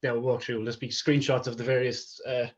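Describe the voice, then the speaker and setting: A male technical presenter talks informally over a dull, lo-fi video call. His pitch sits in the middle of the male range. He tends to stumble and repeat the starts of phrases. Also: little pitch movement, fast talker. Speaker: technical presenter, DevOps consultant.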